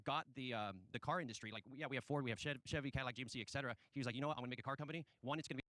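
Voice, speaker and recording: Man, very fast, bright, rambling debate talk. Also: mid-range voice, dry recording. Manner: rambling, full of fillers and restarts. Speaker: debate participant. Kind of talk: group debate.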